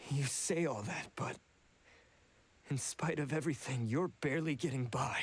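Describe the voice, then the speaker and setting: Man playing a brooding, guilt-ridden oni outcast, mid-pitched. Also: soft background music, natural voice. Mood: troubled. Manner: serious, halting, remorseful. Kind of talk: English video game voice acting.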